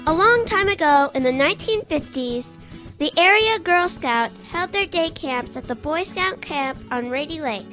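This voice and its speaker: A woman's voice: high-pitched, animated, normal speed, music in the background. A woman narrating a scripted radio story.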